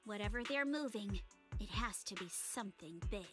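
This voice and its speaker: An actress voicing a confident, sharp-witted young woman in a game, talking things through animatedly. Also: medium pitch, expressive, normal speed, big-room sound, music in the background, close to an everyday voice.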